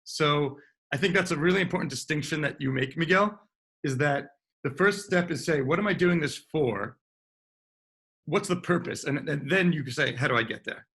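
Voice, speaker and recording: A man with a scratchy, husky voice and a rough, gravelly edge, speaking a touch higher than most men. He chats easily as a dentist on a panel, agreeable and conversational, pausing to clear his throat. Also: a bit bright. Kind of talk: casual panel discussion.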